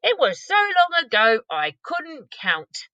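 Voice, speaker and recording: A woman's voice: sits high, slow, no echo, lo-fi sound, voice pushed up, exaggerated voice. A woman voicing a huge, very old, kindly male giant who mangles his grammar, read aloud from a storybook. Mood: storytelling. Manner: muddled grammar, lively storybook delivery.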